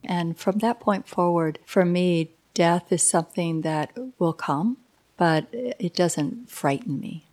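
60s woman, yoga teacher, talks slow n earnest, bit low, little laughs. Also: darkish tone. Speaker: yoga instructor and life coach. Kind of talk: interview reflections.

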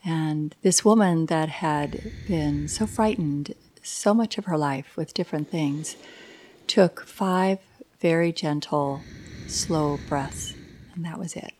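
A woman in her sixties speaks slowly and earnestly, a touch low in pitch, with soft laughs slipping in. She sounds like a yoga teacher and life coach giving her reflections for a radio feature. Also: slight background noise. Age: 60s. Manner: slow, earnest, laughs lightly. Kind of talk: interview reflections.

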